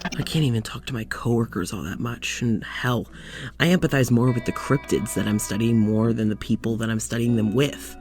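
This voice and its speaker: A man plays a weary, lonely doctor in a fiction podcast. He speaks in a confiding, halting way at a middle pitch, sighing as he unloads his troubles. Soft music sits behind the voice. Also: lightly acted. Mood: hurt, lonely. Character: lonely, weary young doctor venting to his demon dog. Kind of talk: audio drama monologue.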